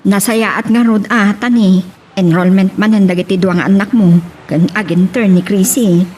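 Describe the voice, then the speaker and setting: A woman's voice: mid-range voice, fairly even intonation, moderate pace, darkish tone, natural voice. A woman playing a caring, hardworking mother in an amateur Ilocano family drama. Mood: tender, reassuring. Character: caring hardworking mother seeking work abroad. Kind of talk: Ilocano radio-style family drama acting. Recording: distant mic, background noise.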